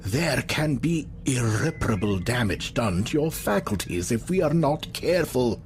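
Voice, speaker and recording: Casting: a man as smug little dinosaur scientist, theatrical and condescending, very lively pitch, faint noise. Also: normal speed, close to an everyday voice. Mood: smug, explanatory. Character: glib, condescending reptilian mad scientist, small and arrogant. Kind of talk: audio drama acting.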